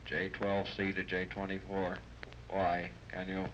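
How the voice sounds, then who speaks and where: Monotone radio operator over static. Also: male voice, low voice, a little slow. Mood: businesslike. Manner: procedural, monotone. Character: flat, businesslike male shore radio operator. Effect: voice over shortwave radio. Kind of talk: 1930s radio serial acting. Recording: dull, noisy old radio transmission.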